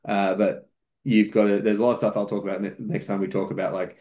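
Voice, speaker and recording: A man with a fairly low voice explains things methodically as a podcast guest. He talks at length in a casual chat, often using fillers and restarting his sentences. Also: level tone, fast, mellow. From outside New Zealand. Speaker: podcast guest, fitness coach.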